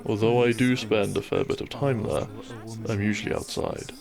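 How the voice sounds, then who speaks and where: Male actor as affectionate gentleman doctor for romance audio drama; low register, gravelly fry, gentle and formally worded. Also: normal speed, music in the background. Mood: thoughtful, agreeable. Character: gentle, devoted male country doctor and bridegroom.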